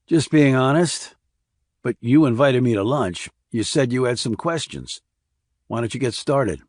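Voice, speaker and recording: A man's voice: mid-range voice, expressive, unhurried, a little mellow, dry recording, studio quality. A man voicing a blunt, probing army investigator in audiobook dialogue, terse and questioning. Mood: frank.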